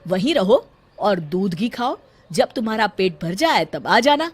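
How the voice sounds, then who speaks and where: A woman's voice: mid-range voice, sing-song, unhurried, breathy edge. Woman playing a hot-tempered, scolding young husband. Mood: fed up. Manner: sharp, loud, scolding. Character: hot-tempered adult son, exasperated office-going husband.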